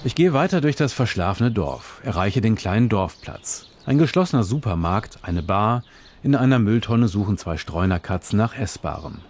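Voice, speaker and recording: A male radio reporter with a fairly low voice reads a scripted reportage. His delivery is measured and descriptive, like careful storytelling. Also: lively intonation, a little mellow, a little hiss or hum.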